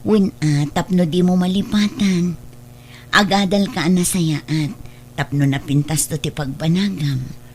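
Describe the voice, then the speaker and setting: A woman's voice: on the low side, a little slow, a little mellow, slight background noise. A woman playing an earnest, self-sacrificing mother in a radio drama, caring and admonishing, with a Filipino accent. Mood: tender, advising. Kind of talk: Ilocano radio drama acting.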